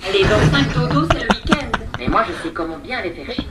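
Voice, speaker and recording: A woman's voice: mid-range voice, big pitch swings, brisk, lightly acted. A woman playing a fed-up, worn-out teacher. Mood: weary griping. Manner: weary griping with laughter breaking in. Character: exhausted, fed-up schoolteacher craving coffee. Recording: echoey distant recording with loud noise.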